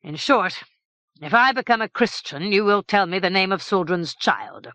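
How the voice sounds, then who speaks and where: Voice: medium pitch, animated, moderate pace, pushed and bright, no echo, voice pushed up. An audiobook narrator voicing a harsh, menacing middle-aged king who speaks in cold, curt threats.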